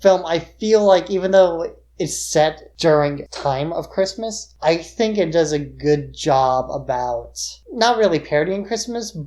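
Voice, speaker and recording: A man's voice: fairly high pitch, lively intonation, a little slow, hardly any pauses, darkish tone. A man co-hosting a podcast, giving his views on films in an informal, explanatory way with plenty of "like". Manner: informal, explanatory, filler-heavy with frequent "like". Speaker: podcast co-host. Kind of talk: casual film discussion.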